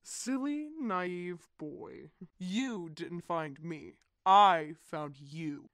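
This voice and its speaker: A man's voice: high-pitched, lively intonation, very slow, a bit bright, clean tone, dry recording, clean studio sound, heavily acted. A man playing a boastful, theatrical supervillain inventor in a superhero audio roleplay, pompous and taunting. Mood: gloating.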